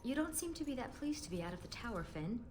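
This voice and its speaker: Wry, blunt elf warrior. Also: female voice, on the high side, sing-song, fast talker, over music. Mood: curious. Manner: dry, sardonic, direct. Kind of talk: video game character acting.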